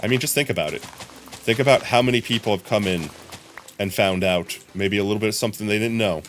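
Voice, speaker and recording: A young adult man streaming a game live, chatting casually with his viewers in a hoarse, rambling way. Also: fairly low pitch, a little fast, fairly bright tone, soft background music. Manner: chatty, rambling, often addressing chat.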